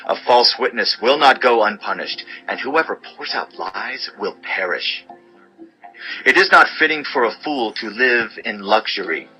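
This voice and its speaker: A man narrating an audio Bible as a dramatized scripture reading, with measured, declamatory delivery of short sayings. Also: medium pitch, bright, forceful tone, poor audio.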